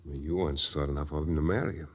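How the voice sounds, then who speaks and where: An actor playing a tough, wry private detective in slangy hard-boiled 1940s radio style. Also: male voice, very deep voice, steady tone, quick pace, husky, full character voice. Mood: low, uneasy. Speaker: lead actor and narrator. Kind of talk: 1940s radio detective acting with first-person narration. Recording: 1940s radio recording, music behind.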